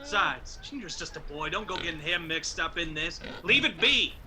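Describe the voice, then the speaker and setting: A man's voice: on the high side, expressive, moderate pace, noisy background, close to an everyday voice. A man playing a level-headed mountain brother with a Southern US accent in a radio drama. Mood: exasperated, restraining.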